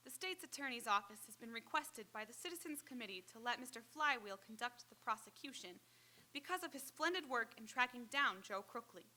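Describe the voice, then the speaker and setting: A woman's voice: fairly high pitch, animated, fairly quick, sharp tone. A woman playing a formal, businesslike district attorney in a live old-time radio comedy. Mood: brisk, official.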